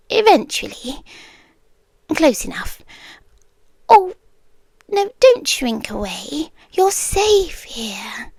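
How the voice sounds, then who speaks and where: A British woman playing an inhuman, possessive desert queen in an ASMR roleplay, softly menacing and obsessive, speaking deliberately in a breathy half-whisper. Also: sits high, dry recording, full character voice. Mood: soft possessive menace.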